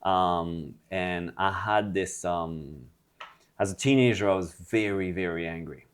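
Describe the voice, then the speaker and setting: A man's voice: low-pitched, a little slow, clean tone. A man from France, a personal trainer and gym founder, talking frankly and reflectively in a casual interview, with frequent hesitations.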